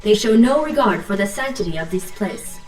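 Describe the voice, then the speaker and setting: A woman's voice: medium pitch, animated, fairly quick, close to an everyday voice. A woman playing a formal military officer who gives clipped, scripted mission briefings and battle announcements in a video game. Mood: solemn, formal. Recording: roomy, distant, loud music behind.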